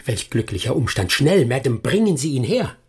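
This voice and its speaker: An actor playing a shrewd, composed gentleman detective in a radio drama, speaking formally and with insistent authority. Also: mid-range voice, very animated, moderate pace, breathy edge. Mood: urgent. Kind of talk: German radio drama acting.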